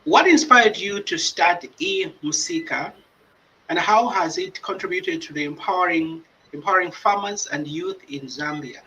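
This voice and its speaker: A man's voice: normal speed, lo-fi sound, a little hiss or hum. A man moderating a live panel, speaking hesitantly with fillers between questions. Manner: hesitant, frequent fillers, lively pitch swings. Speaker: panel host.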